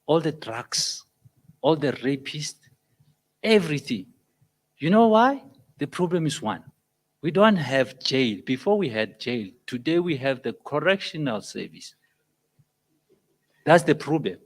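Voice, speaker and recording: This is a South African man speaking up from the floor at a public meeting. English is not his first language, so his phrasing halts in places. He gets emphatic, climbing to loud peaks as he drives his points home. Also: a little high, big pitch swings, a little slow, long pauses. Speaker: audience member. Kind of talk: impassioned public comment.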